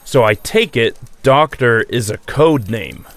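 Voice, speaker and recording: An actor in an audio drama playing a sceptical, hard-nosed US army colonel with a terse, interrogating manner. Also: male voice, mid-range voice, lively intonation, slow pace, a bit bright, no echo. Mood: probing.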